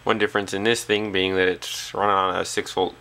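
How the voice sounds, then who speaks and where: A man's voice: sits low, little pitch movement, brisk, sharp tone. A man talking casually and off the cuff as a hobbyist presenter, hesitantly thinking aloud at an indoor workbench on a camera's built-in mic. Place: small indoor workshop at a bench.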